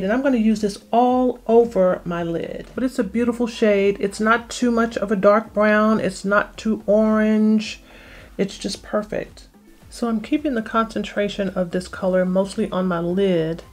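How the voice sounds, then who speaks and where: A woman's voice: mid-range voice, darkish tone. A middle-aged woman casually talking viewers through a makeup tutorial step by step, in an explanatory way. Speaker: beauty vlogger.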